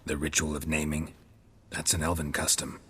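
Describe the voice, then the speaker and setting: An actor playing a gruff, skeptical male monster hunter who speaks in a dry, curt way in a video game cutscene. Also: extremely low pitch, completely flat delivery, normal speed, fairly bright tone, exaggerated voice.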